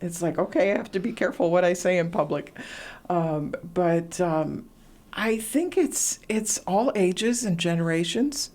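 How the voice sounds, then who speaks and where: A middle-aged woman, a radio host talking as a guest and sharing stories in relaxed conversation, with fillers. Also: fairly low pitch, unhurried. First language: Latvian. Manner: warm storytelling, reflective, frequent fillers. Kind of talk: casual interview chat.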